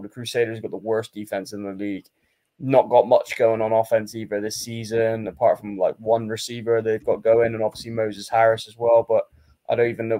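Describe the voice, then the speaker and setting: Male co-host, sure of his picks, plain delivery, ribs his partner now and then. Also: mid-range voice. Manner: confident, matter-of-fact, teasing. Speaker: podcast co-host. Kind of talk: casual sports picks chat.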